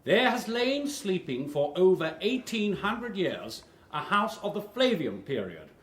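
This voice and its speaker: An actor playing a smooth, officious tour guide in a radio drama, with a formal, flowery way of announcing. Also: male voice, high voice, sing-song, a little slow, a bit bright, full character voice. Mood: grand, declaiming. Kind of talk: British radio drama acting.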